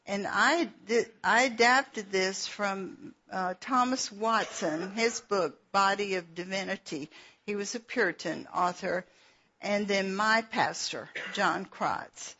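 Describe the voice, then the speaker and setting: A woman's voice: medium pitch, slow, sharp tone, a little hiss or hum. A middle-aged woman with a Southern Georgia accent, teaching a Bible session in a folksy, chatty way and laughing at herself as she tells stories. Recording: dull lo-fi recording.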